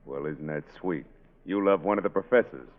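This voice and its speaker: Actor as a fast-talking, low-voiced gangster boss. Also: male voice, natural voice. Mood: menacing, mocking. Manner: threatening, slangy, sneering. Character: menacing, sarcastic gangster boss. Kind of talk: 1940s radio acting. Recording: tinny 1940s radio broadcast.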